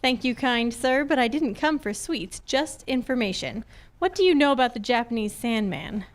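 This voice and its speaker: A woman's voice: a little high, animated, moderate pace, natural voice. A woman playing a wisecracking, glamorous private eye in an old-time radio detective play, breezy and teasing.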